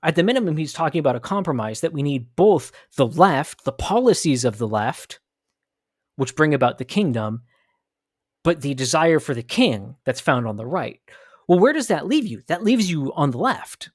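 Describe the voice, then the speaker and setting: A man's voice: a little high, big pitch swings, normal speed, no echo, clean studio sound. A man hosting a commentary podcast, arguing emphatically with rhetorical questions and repeated phrases. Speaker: podcast host. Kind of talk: opinionated commentary.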